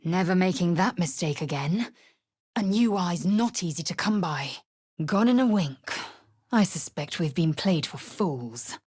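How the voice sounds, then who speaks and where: A woman voicing a fierce, proud githyanki warrior in a video game, imperious and clipped in manner, with a husky, breathy voice. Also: on the low side, lively intonation, unhurried, darkish tone, dry recording, clean studio sound. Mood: wary, blunt.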